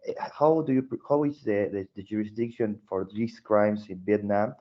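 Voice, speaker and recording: A man from Argentina with an Argentine Spanish accent, haltingly putting a question in an online meeting. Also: fairly low pitch. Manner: halting, repeats words. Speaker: meeting participant asking a question. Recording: dull, lo-fi online call.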